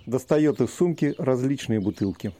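A man's voice: medium pitch, lively intonation, moderate pace, mellow. A man narrating an audio play, reading stage directions.

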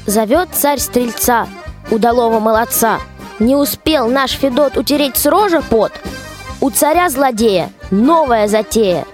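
A woman's voice: on the high side, expressive, unhurried, soft background music. A woman narrating a fairy tale in folksy rhymed verse for a radio play.

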